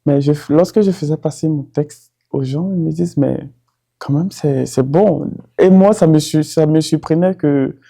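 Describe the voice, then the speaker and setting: A man's voice: a little high, normal speed, vocal fry, mellow. A man from Côte d'Ivoire, a former doctor now studying, giving a personal testimony with hesitant speech and frequent restarts.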